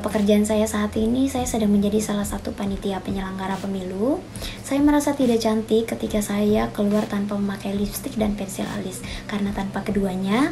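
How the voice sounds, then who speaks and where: A woman's voice: medium pitch, background noise. A woman in her mid-twenties giving a formally worded personal testimonial. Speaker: election committee worker.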